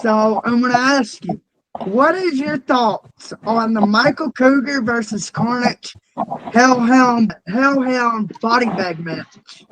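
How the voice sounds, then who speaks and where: A young man in his twenties with a strikingly high voice co-hosts a loose fan interview. He talks bluntly and swears freely, and he is quick to launch into a rant. A faint noise sits under his voice. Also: a bit bright, low-quality recording. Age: 20s. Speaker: podcast co-host. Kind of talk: casual interview chat.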